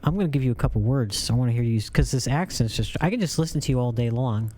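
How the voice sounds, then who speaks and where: A woman's voice: rumbling low, fast, mellow, slight background noise. A woman from Florida hosting a casual interview, bubbly and teasing, laughing often. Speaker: host.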